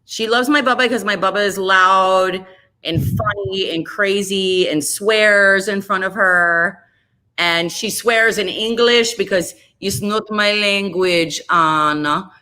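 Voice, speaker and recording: A woman's voice: mid-range voice, a little slow, sharp tone, rough recording. A woman in her forties, a radio host, chatting candidly and ramblingly as an interview guest. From Chicago area, US. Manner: candid, rambling, self-deprecating. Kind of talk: casual interview chat.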